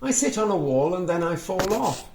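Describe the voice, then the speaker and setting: A man's voice: high-pitched, lively intonation, normal speed, echoey room, noisy background, voice pushed up. A man voicing troubled nursery-rhyme characters who plead for help, reading their letters in a plaintive, emphatic storybook manner.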